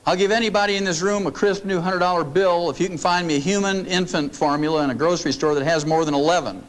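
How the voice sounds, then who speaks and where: An older man with a fairly high voice lectures a roomful of people in a folksy, storytelling way. He leans on jokes and homespun asides as he makes his points. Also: fast, low-quality recording. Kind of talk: folksy seminar talk. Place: meeting room before an audience.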